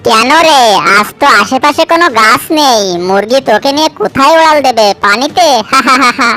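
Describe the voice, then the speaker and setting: Cartoon fox villain, played by a woman: high-pitched, sharp, bragging and threatening, over faint music. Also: brisk. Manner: taunting, bragging. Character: boastful, hungry, threatening fox, foiled villain. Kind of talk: children's cartoon dialogue.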